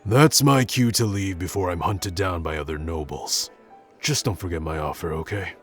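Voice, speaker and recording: A man playing a gruff, awkward young tsundere prince who speaks haltingly and grudgingly in an intimate roleplay audio addressed to the listener. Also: deep voice, close to an everyday voice. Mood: shy, reassuring. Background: background music.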